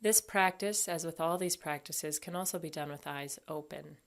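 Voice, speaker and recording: Low-pitched woman guiding a meditation. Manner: gentle, unhurried, with long pauses. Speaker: mindfulness meditation instructor. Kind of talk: guided body scan meditation.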